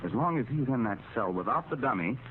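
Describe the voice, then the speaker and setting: An actor playing a thoughtful, grave man who explains things in measured phrases, in a 1940s radio drama. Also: mid-range voice, expressive, narrow, tinny audio, natural voice. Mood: explaining. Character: thoughtful, grave male amateur psychologist advising police. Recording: faintly noisy old radio recording.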